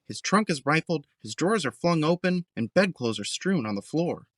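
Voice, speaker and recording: A man's voice: fairly high pitch, animated, normal speed, dry recording, clean studio sound. A man narrating an audio drama in a scripted, scene-setting style.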